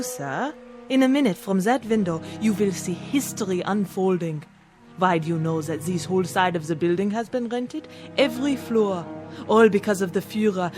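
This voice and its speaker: A German hotel maid, played by an actress, talks at a medium pitch with a German accent. She is deferential on the surface but proud, insistent and pointed. It is vintage television drama with clear background music. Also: natural voice. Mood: polite, insistent. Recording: old TV soundtrack with music.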